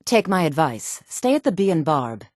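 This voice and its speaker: A woman voicing a sultry, sharp-tongued, disdainful townswoman and courtier in a fantasy video game. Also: low-pitched, very animated, moderate pace, dry recording, clean studio sound. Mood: dismissive, sardonic. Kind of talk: video game character dialogue.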